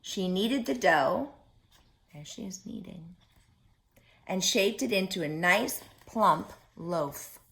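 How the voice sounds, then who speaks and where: Slow-paced woman reading to small children. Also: fairly low pitch, animated, creaky, a bit bright. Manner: slow and deliberate, pausing to put questions to young listeners. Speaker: children's storyteller. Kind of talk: storybook read-aloud to young children.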